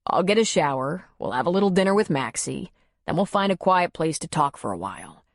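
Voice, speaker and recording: A calm, weary man, as a female narrator puts him on, speaks low and measured, trying to soothe. The recording is dry and close. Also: dry recording, exaggerated voice. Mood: gentle.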